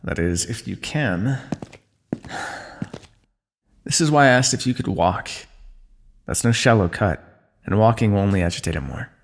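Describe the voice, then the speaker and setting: A man voicing a wry, wounded fantasy ruler who teases an old comrade in a calm, dry way, in an ASMR roleplay. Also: on the low side, normal speed, creaky, pauses often, a little hiss or hum. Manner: dry, teasing, calm understatement.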